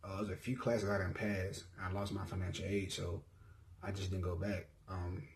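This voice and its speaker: A young man from Mississippi speaks with a relaxed Southern accent, in a low-pitched voice. He talks loosely to his viewers and drifts between thoughts. He fills pauses with ums and often corrects himself. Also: slight background noise. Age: 20s. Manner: laid-back, rambling, frequent ums and self-corrections. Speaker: YouTuber. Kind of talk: casual self-introduction vlog.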